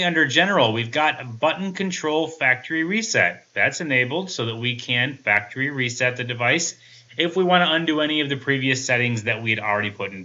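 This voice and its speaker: A man in his thirties with a bright, forward voice at a medium pitch. He walks through a product setup step by step in an informal, methodical way, like a tech reviewer. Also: dull audio. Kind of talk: casual product setup walkthrough.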